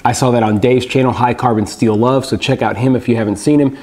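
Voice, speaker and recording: A man, giving a relaxed, explanatory gear review vlog from a table in a small room, with many fillers. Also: mid-range voice, fast talker.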